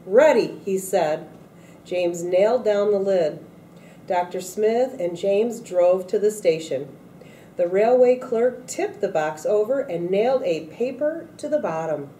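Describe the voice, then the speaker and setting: A woman reading a children's picture book aloud in a deliberate way, acting out the quoted speech a little. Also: mid-range voice, animated, dark tone, distant mic. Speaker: storybook reader at a read-aloud event. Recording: echoey room, off-mic, faint noise.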